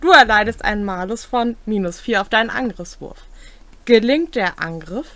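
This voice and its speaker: A woman's voice: mid-range voice, animated, moderate pace, a bit bright, dry recording. A young adult woman casually reading out and discussing tabletop game rules as a co-host. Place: small indoor home room.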